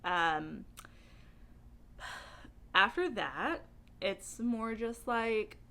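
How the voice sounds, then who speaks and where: A woman talking in a casual, chatty book vlog style, with a giggly, animated and informal, rambling manner. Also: mid-range voice, very slow. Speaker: book reviewer vlogger.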